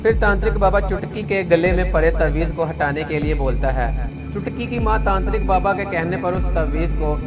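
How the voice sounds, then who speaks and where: A man's voice: high-pitched, normal speed. A man narrating a scripted story as a storyteller. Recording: music behind the voice.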